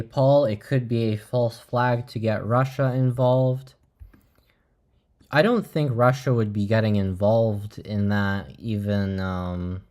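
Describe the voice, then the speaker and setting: Male livestream host, lower-pitched voice, informal live commentary, measured and a little halting. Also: a little mellow.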